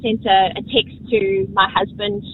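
A woman's voice: mid-range voice, flat delivery, unhurried. A middle-aged woman with a South African accent, calling a radio show to tell a story in a hesitant, casual way full of ums. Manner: hesitant, many ums, laughing. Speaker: radio caller. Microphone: phone line. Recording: muffled phone call with road noise.

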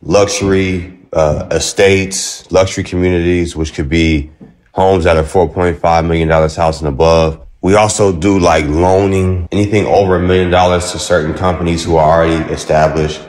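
A man in a played clip, describing himself in a slow, boastful, measured way. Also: low-pitched, fairly even intonation, darkish tone, roomy sound. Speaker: man in an archive video clip.

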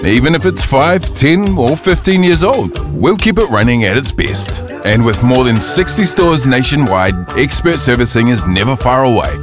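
A man reading a car servicing advert in a steady, reassuring way. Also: medium pitch, normal speed, music in the background. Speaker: ad voice-over. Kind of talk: reassuring ad read.

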